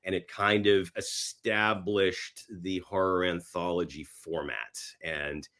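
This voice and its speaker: Low-voiced man chatting as podcast guest. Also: level tone, takes it slowly, a bit bright, a little hiss or hum. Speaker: actor and screenwriter. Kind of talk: casual interview chat.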